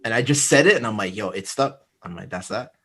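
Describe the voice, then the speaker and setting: A man's voice: on the low side, animated, quick pace, fairly bright tone, husky. A man bantering casually and slangily as a panelist on a podcast.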